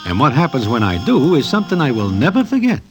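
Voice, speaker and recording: An actor playing a wry, streetwise Broadway storyteller who narrates in mannered present-tense slang, in 1940s radio style. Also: male voice, medium pitch, wildly swooping pitch, fast, over loud music. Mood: wry, storytelling. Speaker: narrator and character.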